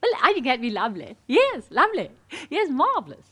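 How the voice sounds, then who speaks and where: An actress playing a gushing, awkward middle-aged upper-class English wife, jolly and flustered, in a comic monologue. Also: female voice, high-pitched, huge pitch swings, a little fast, fairly bright tone, exaggerated voice.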